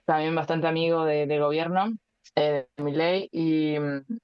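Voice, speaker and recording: A woman in her twenties with an Argentine Spanish accent, a guest speaking casually and thoughtfully, with many pauses and fillers. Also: deep voice, steady tone, smooth, dry recording, lo-fi sound. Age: 20s. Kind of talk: casual interview chat.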